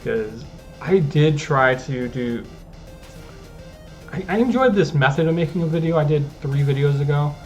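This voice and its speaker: A man's voice: a little high, moderate pace, long pauses, lo-fi sound, faint music behind. A man giving casual, rambling commentary over his own gameplay as a gaming channel host. He often restarts his sentences and talks at an easygoing pace. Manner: rambling, self-interrupting, easygoing.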